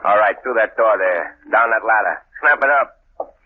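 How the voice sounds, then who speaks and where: A tough gangster heavy snaps out orders and threats, voiced by an actor in an old radio play. His voice sits low and clipped. The sound is narrow and phone-like, with light hiss. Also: animated, normal speed, lightly acted. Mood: bossy. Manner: curt, bossy, threatening. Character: gruff male gangster henchman. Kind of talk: 1940s radio acting. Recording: tinny old radio broadcast.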